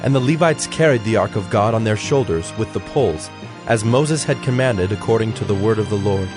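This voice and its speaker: A man giving a formal, measured, solemn narration of scripture. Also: lowish voice, animated. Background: music.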